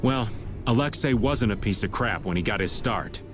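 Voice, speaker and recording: A man's voice: on the low side, expressive, normal speed, lightly acted. An actor playing an easygoing, wry middle-aged man in a game, over background music. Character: laid-back joking middle-aged man.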